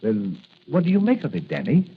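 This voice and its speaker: An excitable apothecary doctor from a 1940s radio serial, played by a man. His pitch swings wildly as he questions in an incredulous, animated way. It comes through thin and tinny with faint hiss. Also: brisk. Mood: puzzled, incredulous. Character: excitable apothecary doctor, friend and advisor to the hero. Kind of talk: 1940s radio drama acting. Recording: tinny, hissy vintage radio recording.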